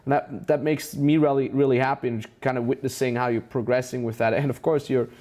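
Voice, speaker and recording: A man's voice: mid-range voice, fairly even intonation, brisk, dark tone. A man with a German accent hosting a casual interview as a business mentor, enthusiastic and full of praise. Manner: enthusiastic, praising, many restarts.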